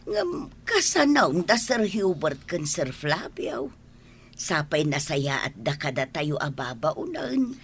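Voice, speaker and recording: Over audible background noise, a woman acts a motherly older housemaid in a radio drama. Her voice is excitable and coaxing, swinging widely in pitch. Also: slow pace, full character voice. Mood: worried, eager. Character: motherly older housemaid Nana Linda, fretful and protective. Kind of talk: Ilocano radio drama acting.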